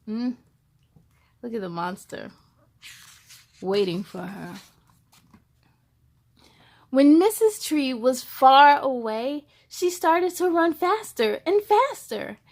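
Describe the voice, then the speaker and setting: A woman reading a children's picture book aloud expressively, with casual wondering asides for young listeners. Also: sits high.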